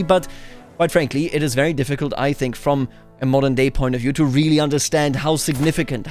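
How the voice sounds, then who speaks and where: Man, fairly high pitch, strategy game roleplay commentary, discursive, explanatory, faint background music. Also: no room sound. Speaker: host of a video game playthrough series.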